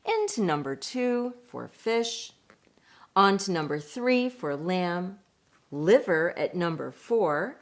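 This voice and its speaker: A woman reading a picture book aloud in an expressive, deliberate way, with chatty asides. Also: medium pitch. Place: indoors at home.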